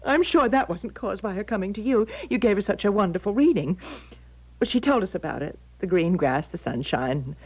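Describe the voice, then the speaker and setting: Radio-play mother: middle-aged, insistent, agitated woman with animated delivery, dull lo-fi broadcast sound. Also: mid-range voice, fairly quick, husky. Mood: pleading. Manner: agitated, emphatic, theatrical. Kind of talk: 1970s radio drama acting.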